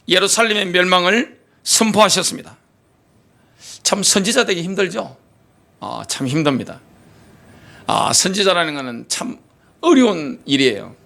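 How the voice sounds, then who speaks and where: A man's voice: high voice, bright, forceful tone. A man pastor preaching a sermon, reading scripture aloud and asking his congregation rhetorical questions.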